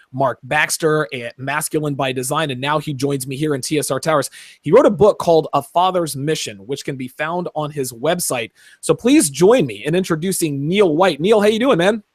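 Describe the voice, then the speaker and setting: A man hosting a podcast, with a fairly high voice and an upbeat, easygoing delivery. The recording is dry and close, with no room sound. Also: no echo. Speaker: podcast host. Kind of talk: casual interview talk.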